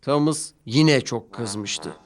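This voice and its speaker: A man narrating a children's cartoon storybook-style, with clear and expressive delivery. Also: medium pitch, takes it slowly, a little hiss or hum. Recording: music playing behind.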